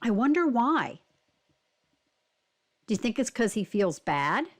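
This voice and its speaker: A woman's voice: a little high, big pitch swings, slow. A woman reading a picture book aloud to children, explaining simply and asking guiding questions. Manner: simple, explanatory, addressing young listeners. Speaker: read-aloud narrator.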